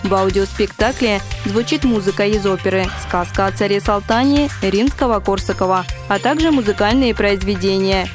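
A woman's voice: mid-range voice, moderate pace, hardly any pauses. A woman reading scripted narration as the presenter of a radio feature. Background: music.

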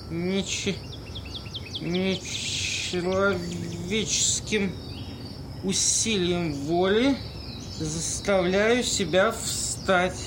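Man acting a slow, drunken homeless tippler. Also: sits high, noisy background. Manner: mock-grand, rambling tipsy delivery with halting words. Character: drunken homeless man, pompous, cheerfully shameless drinker. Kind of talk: amateur audio play acting.

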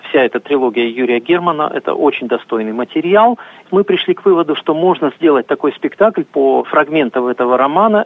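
A man's voice: mid-range voice, no echo. Dull, dry recording of a man interviewee. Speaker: library sound engineer, interviewee. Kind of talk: interview comment. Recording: dull, dry, band-limited interview audio.

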